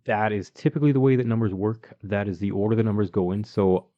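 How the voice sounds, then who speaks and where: A Canadian man with a lowish, mellow voice talks through engineering news in a relaxed podcast chat, filling pauses with um and you know. The sound is a little dull and lo-fi. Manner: explanatory, chatty, with many ums and you-knows. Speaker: podcast co-host.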